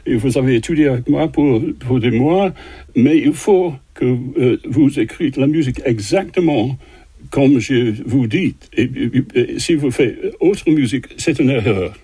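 Older man, husky, halting French. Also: medium pitch, mellow, no echo. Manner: halting, many fillers and restarts. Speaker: composer guest. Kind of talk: interview answers in a second language.

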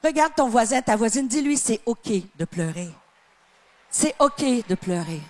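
A woman from Canada with a light Québécois accent delivers a sermon in a high, bright-pitched voice. She leans hard on key phrases and stretches them out, then sinks into softer, hushed lines. She speaks to people in the room and to those watching online. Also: expressive. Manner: emphatic, drawn-out phrases with sudden drops to a soft tone. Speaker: preacher. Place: church with live and online audience.

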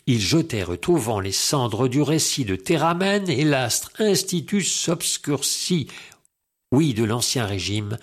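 A man performs a dramatic recitation of classical verse. His voice sits in a middle register. He declaims emphatically, shaping each phrase with deliberate care. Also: medium pitch, husky. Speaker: poetry reciter.

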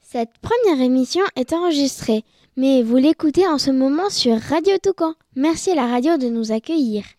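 Cheeky high-voiced young radio co-host. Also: female voice, expressive, moderate pace, warm tone, dry recording, studio quality. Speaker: child co-host of a kids' radio show. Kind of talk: reading a script, then interviewing.

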